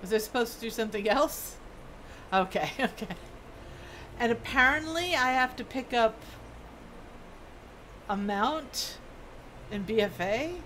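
A woman's voice: fairly high pitch, lively intonation, unhurried, long pauses, a bit bright, slight background noise, rough recording. A woman streamer giving casual, chatty live commentary on a video game, reacting aloud and reading bits of quest text.

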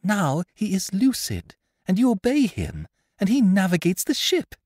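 A man's voice: high-pitched, huge pitch swings, a little slow, darkish tone, dry recording, studio quality, voice pushed up, full character voice. A man voicing a cool, blunt, commanding young woman in a seafaring storybook. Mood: puzzled, probing. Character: commanding, level-headed young woman aboard a mutinous ship. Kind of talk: audiobook character voice, period seafaring speech.

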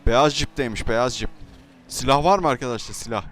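A man's voice: mid-range voice, a little fast, fairly bright tone, faint music behind. A man doing slangy, confrontational roleplay banter in an online game and talking to viewers. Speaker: YouTube gamer and roleplay troll. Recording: noisy gaming voice recording.

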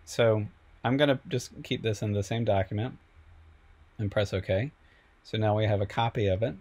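A man teaching a software class over a screen recording, a little sick and losing his voice, explaining step by step with frequent ums. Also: fairly low pitch, normal speed. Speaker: instructor.

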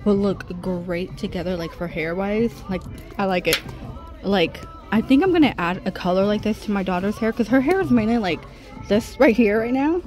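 A woman's voice: mid-range voice, moderate pace, music in the background. A middle-aged woman vlogging a casual shopping trip, chatting and reading out prices as she browses a busy store. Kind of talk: casual shop-with-me narration. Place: busy discount clothing store.

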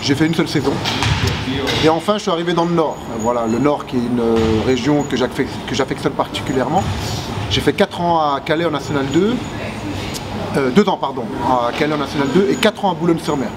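A man's voice: on the high side, fairly quick. Hesitant man in his forties, noisy arena. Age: 40s. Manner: hesitant, many fillers and restarts. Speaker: basketball player. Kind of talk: seated interview. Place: empty arena stands, indoors. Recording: loud background noise.

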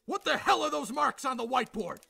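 A man playing an exasperated, short-tempered veteran police boss in a radio drama, barking and sarcastic with a gravelly, harsh voice. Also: very high-pitched, animated, brisk, pushed and bright, no echo, full character voice. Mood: exasperated anger.

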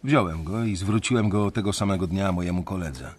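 A man's voice: low voice, fairly quick, a little hiss or hum, close to an everyday voice. An actor playing a resentful young investment banker who tells his story bitterly in a radio drama. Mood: bitter recollection.

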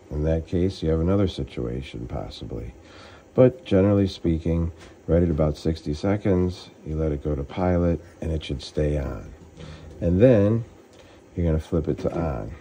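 A man working as a heating technician, explaining a furnace repair step by step in a relaxed, plain-spoken way, recorded on a handheld phone beside a gas furnace. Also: very deep voice, slight background noise. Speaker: HVAC technician. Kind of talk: casual how-to explanation. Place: utility room at a gas furnace.

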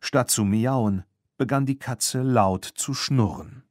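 A man's voice: mid-range voice, slow, darkish tone, no echo, clean studio sound. A man reading a scripted children's story as an audiobook narrator.

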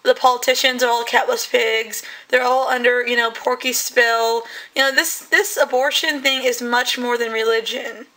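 A woman hosting her channel, giving heated, rambling commentary in a hoarse voice that is still coming back. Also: a little high, steady tone, normal speed, few pauses, bright, forceful tone. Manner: heated, rambling, many fillers. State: hoarse, voice recovering. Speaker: channel host. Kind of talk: casual commentary.

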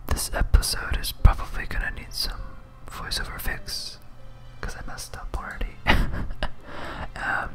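A whispered, breathy voice gives ASMR gameplay commentary at a slow pace. The delivery is hesitant and rambling, often self-deprecating. Music plays clearly behind it. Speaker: host, game streamer.

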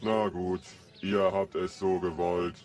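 A man's voice: low voice, steady tone, slow pace, a little mellow, faint music behind, pitched below the performer's own voice. A man voicing a big, naive, reluctant golem in a ponderous, blunt way.

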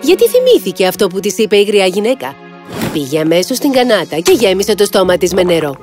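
Casting: female fairy-tale narrator, medium pitch, lively intonation, clear music bed underneath. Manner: expressive storytelling. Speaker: storyteller.

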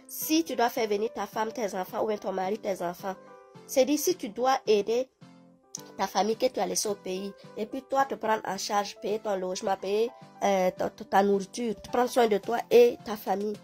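A woman's voice: medium pitch, moderate pace, warm tone, soft background music. A woman speaking directly to her phone camera, giving advice in a lecturing way. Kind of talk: advice video.

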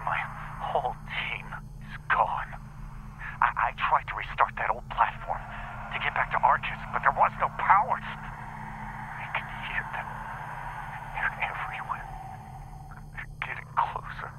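An actor playing a scientist who leads an expedition, in a sci-fi game audio log. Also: male voice, mid-range voice, lively intonation, a little slow, long pauses, sharp tone, low-quality recording. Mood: terrified, grieving. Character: shaken male scientist leading a doomed expedition. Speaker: research team leader. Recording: faint noise, recorded audio log.

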